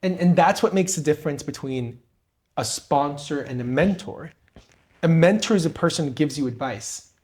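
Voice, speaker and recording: Man, from Peru, young adult, fairly high pitch, earnest, motivational podcast talk. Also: normal speed, a little mellow. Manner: earnest, motivational, addresses host by name. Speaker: podcast co-host and guest. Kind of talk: conversational interview.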